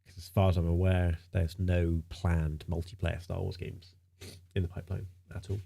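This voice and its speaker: A man's voice: sits low, flat delivery, moderate pace, gravelly, warm tone. A man streaming live in casual chat, sounding nasal from a stinking cold, rambling with lots of fillers and repeated words.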